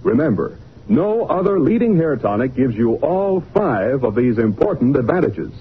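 A man's voice: medium pitch, very animated, normal speed. A man doing scripted announcing in the style of a 1940s radio commercial, with an emphatic salesman's delivery. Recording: tinny, noisy old radio recording.

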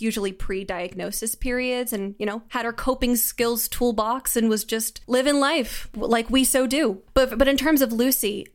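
A woman giving reflective, articulate interview answers in an earnest way, as a creative guest. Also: a little high. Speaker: podcast guest, audio drama writer and sound designer.

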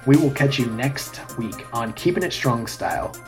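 A hoarse man talks through a wrestling recap on his podcast, casual and full of fillers. He is plainly excited about the match. Faint music sits behind his voice. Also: medium pitch, moderate pace. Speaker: podcast host.

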